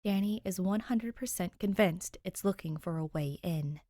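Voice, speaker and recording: A woman narrates a story from a script. Her voice is slightly low, and the recording is close and dry with hardly any room sound. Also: normal speed, warm tone, no echo. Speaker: podfic narrator.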